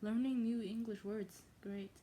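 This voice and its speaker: A woman's voice: on the high side, steady tone, a little slow, warm tone, a little hiss or hum. A woman reading a novel aloud for her channel, breaking off for chatty, self-mocking asides full of "like".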